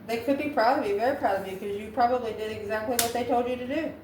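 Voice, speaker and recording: A woman giving an improvised spiritual tarot reading to viewers, musing in a halting way and posing questions to herself. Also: on the high side. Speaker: tarot card reader, channel host. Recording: echoey room, distant mic, faint noise.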